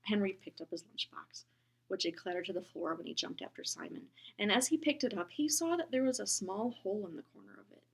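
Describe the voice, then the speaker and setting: A woman reading a children's book aloud, gentle and expressive, often dropping into a whisper. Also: mid-range voice, a little fast, creaky, slightly rough. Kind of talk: storybook read-aloud.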